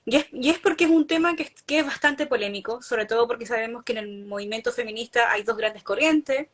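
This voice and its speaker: A woman's voice: a little high, brisk. Earnest woman explaining her views. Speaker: animal rights activist. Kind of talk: casual online talk. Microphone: video call.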